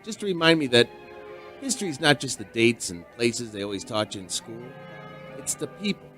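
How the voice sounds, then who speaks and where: A man's voice: medium pitch, big pitch swings, normal speed, fairly bright tone, faint music behind. An actor playing an earnest, sentimental male bartender who tells a strange tale in a dramatic radio play style. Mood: reflective. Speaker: bartender and storyteller. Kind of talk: radio drama acting and narration.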